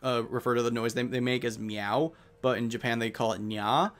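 A man's voice: mid-range voice, fairly even intonation, a little fast. A man hosting a casual video game playthrough in a rambling, chatty way. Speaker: Let's Play host. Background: video game music.

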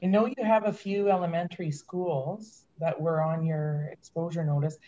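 A woman's voice: deep voice, steady tone, normal speed, dark tone. Frank woman on a muffled video call. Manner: candid, plain-spoken. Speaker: public health medical officer. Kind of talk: answering questions on a video call. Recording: dull lo-fi call audio.

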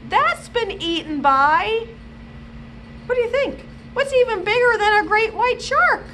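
A middle-aged woman hosting a children's storytime in an animated, expressive way, talking to young children. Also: very high voice, measured pace. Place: indoors, small library children's room. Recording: noticeable background room noise.

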